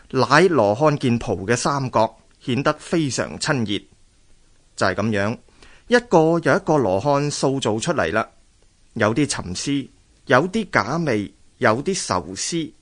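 Male Cantonese radio storyteller, medium pitch. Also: fairly bright tone. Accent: Hong Kong Cantonese. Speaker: narrator. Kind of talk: scripted storytelling narration for radio drama.

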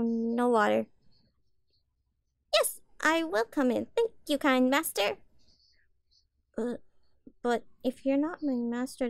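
A woman's voice: fairly high pitch, creaky, dry recording. A woman playing a small, meek, eager-to-please catgirl in an audio roleplay, stammering hesitantly and apologetically. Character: timid, eager-to-please small catgirl, homeless stray kitten. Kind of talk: audio roleplay addressed to the listener.

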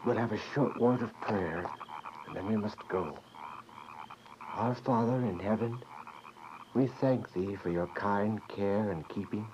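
An actor playing a devout, protective young brother in a radio drama, earnest, coaxing and commanding. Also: male voice, on the low side, measured pace, pauses often, lightly acted. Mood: prayerful. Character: protective, urgent, devout brother leading an escape. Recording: dull, lo-fi audio with clear noise.

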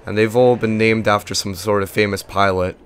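Young male game host, voice gone and low, monotone, rambling casual commentary. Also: a little fast, clean tone. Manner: rambling, chatty, filler-heavy. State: lost voice, limited pitch. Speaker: gaming video host.

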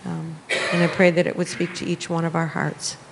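A woman's voice: lowish voice, level tone, brisk, creaky. A woman leading spontaneous prayer in church, hesitant and plain-spoken. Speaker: prayer leader before the sermon. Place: church sanctuary, indoors. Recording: echoey hall with faint noise.

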